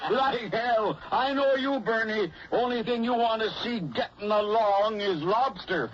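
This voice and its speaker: A muffled, noisy radio play features an old skipper, bossy and folksy. His voice is high for a man. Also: unhurried. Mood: wry. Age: older. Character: brisk, stubborn old fishing boat skipper. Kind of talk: radio drama dialogue. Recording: dull radio recording, noisy background.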